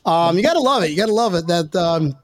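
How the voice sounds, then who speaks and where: A man's voice: high voice, expressive, fast. A man hosting a casual sports podcast with jokey, self-deprecating banter and salty language. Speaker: podcast host.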